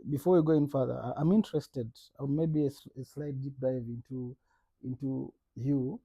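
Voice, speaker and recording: A man's voice: medium pitch, fairly even intonation, moderate pace, mellow, no room sound. A man hosting an interview, who asks his questions with hesitations and restarts. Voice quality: gravelly, husky. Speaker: podcast co-host. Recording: dull, muffled audio.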